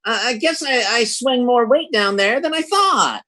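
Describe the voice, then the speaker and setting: A man's voice: extremely high pitch, animated, normal speed, very noisy. A man playing a folksy, self-important American husband in old-time radio comedy style, stammering and blustering. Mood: boastful, joking.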